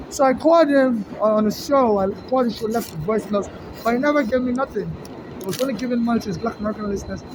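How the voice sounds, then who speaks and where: A woman rambling through a long personal monologue, halting and restarting often. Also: a little high, noisy background.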